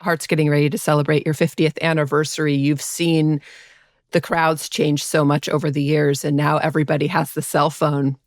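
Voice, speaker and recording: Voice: medium pitch, level tone, normal speed, no echo, clean studio sound. A podcast host asking curious interview questions and giving short, affirming replies.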